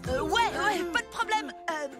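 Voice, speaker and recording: A woman's voice: extremely high pitch, animated, moderate pace. A woman with a French accent playing an awkward, reluctant young sidekick in a cartoon, hesitant and stammering. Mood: uneasy. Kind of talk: animated cartoon acting. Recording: loud music behind the voice.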